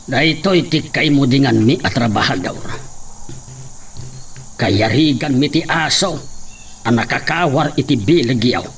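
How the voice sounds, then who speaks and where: A man's voice: medium pitch, animated, measured pace, vocal fry, pauses often. A man voicing an older, humble farmhand in a radio drama, speaking in a deferential but defiant, pleading way. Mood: grave. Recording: faint background noise.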